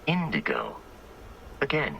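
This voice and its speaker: A man's voice: on the low side, huge pitch swings, slow pace, gravelly, lo-fi sound, slight background noise, exaggerated voice. A man playing a polite, dutiful robot assistant in a video game, formal and precise.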